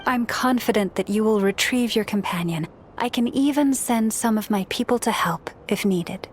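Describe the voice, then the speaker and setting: A woman playing a serene, gracious, mystical priestess in a video game, with a gentle, stately delivery. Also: mid-range voice, normal speed, soft background music, natural voice. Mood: reassuring.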